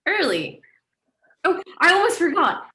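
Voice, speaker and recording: An actress plays an eager, flustered woman writer with a very high, bright voice. She is excitable and halting, feeling her way through thoughts as in a stage play reading. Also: heavily acted. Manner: hesitant, excitable, searching for words. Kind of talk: stage play table read.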